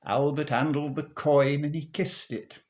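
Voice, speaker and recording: A man reciting a comic verse monologue in a theatrical, measured storytelling manner. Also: on the high side, steady tone, a little mellow. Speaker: monologue performer.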